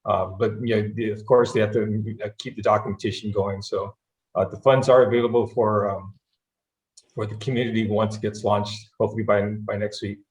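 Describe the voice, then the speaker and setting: A man who is a government branch office manager explaining a funding program, halting with frequent restarts. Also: lowish voice, level tone, darkish tone, reverberant, low-quality recording. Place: video call.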